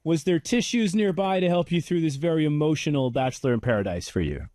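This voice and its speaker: A man's voice: fairly high pitch, lively intonation, fast, mellow, no echo, clean studio sound. An American man hosting a casual TV recap chat, playfully teasing and provoking the other panelists. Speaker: host.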